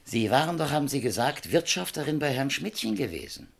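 An actor playing a calm, methodical detective in a German radio crime drama, measured and deliberate in his delivery. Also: on the high side, vocal fry, slight background noise. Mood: businesslike. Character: calm, methodical male detective leading an investigation.

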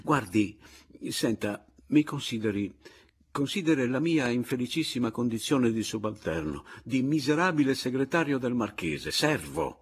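A man voicing a servile, pleading male secretary in a story, evasive and ingratiating, with halting phrases. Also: on the low side, animated, husky, heavily acted. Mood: humble pleading.

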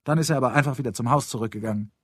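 A man's voice: medium pitch, very fast, husky, no echo, studio quality, close to an everyday voice. A man voicing a chatty, unfazed little girl in an audiobook, with a matter-of-fact, childlike manner. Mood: eager, chatty. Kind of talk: audiobook character voice.

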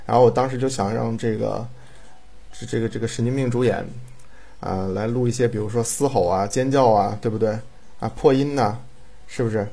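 A man's voice: medium pitch, a little fast, gravelly, dark tone. Emphatic, rambling man in casual chat. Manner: emphatic, rambling, peppers talk with rhetorical tag questions. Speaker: audio drama director.

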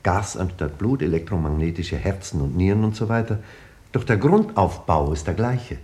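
An actor playing a scientist who narrates events soberly and formally in a 1950s radio play. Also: male voice, sits low, expressive, normal speed, mellow, slightly rough. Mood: pondering.

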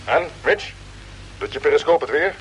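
A man playing a brisk, authoritative captain of a space fleet in a radio play, giving clipped orders. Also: a little high, expressive, dull audio, soft background music, close to an everyday voice. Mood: commanding. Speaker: radio drama actor. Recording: noisy old radio drama recording.

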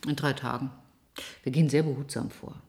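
A low-pitched female doctor in a radio drama. She is composed and grave, and lays out her findings in a measured, clinical manner. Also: steady tone, dark tone. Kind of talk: German radio drama acting.

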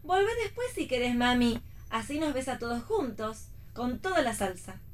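A lively, defiant Argentine daughter. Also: female voice, on the high side, normal speed. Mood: sociable. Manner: pointed, wry. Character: defiant, wry young pregnant daughter standing her ground. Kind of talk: radio theatre reading.

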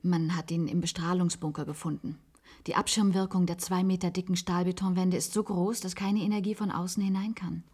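An actress playing a wistful, resigned former secretary in a German science-fiction radio play, confiding in halting phrases. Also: female voice, fairly low pitch, fairly even intonation, darkish tone, breathy edge. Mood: chatty. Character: wistful former secretary, resigned patient living in an isolated institute.

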